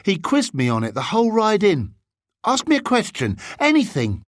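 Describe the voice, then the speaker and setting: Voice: mid-range voice, wildly swooping pitch, normal speed, no echo, studio quality, voice pushed up. A narrator voicing a big, earnest, bookish schoolboy in an audiobook, serious and eager to show what he knows.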